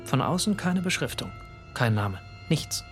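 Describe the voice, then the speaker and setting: An actor playing a fascinated grandson who narrates a radio drama in the first person, in a musing way with clipped phrases. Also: male voice, mid-range voice, very animated, measured pace. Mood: musing, intrigued. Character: reflective grandson, fascinated male narrator of a discovery. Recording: music behind the voice. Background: music.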